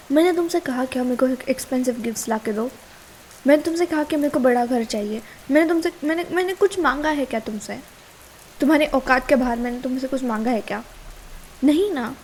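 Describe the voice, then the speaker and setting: A woman's voice: high voice, a little mellow, a little hiss or hum. A young woman with an Indian accent playing a worried, scolding but caring girlfriend in an intimate ASMR roleplay, chiding and pleading as she mixes two languages. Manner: pleading and chiding, switching between Hindi and English.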